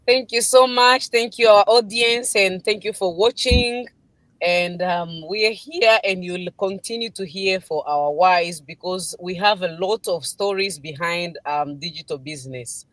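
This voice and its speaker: A woman from Congo, a guest on a live stream, speaking in a steady, slightly formal way. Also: on the low side, measured pace, dry recording. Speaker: guest business partner.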